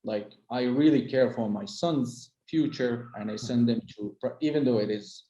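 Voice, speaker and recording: A man's voice: medium pitch, moderate pace, warm tone, roomy sound, lo-fi sound, a little hiss or hum. A middle-aged man, a tour guide whose first language is Arabic, talking casually with lots of "uh" and restarts. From Holy Land. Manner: hesitant, many fillers and restarts. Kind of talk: casual conversation.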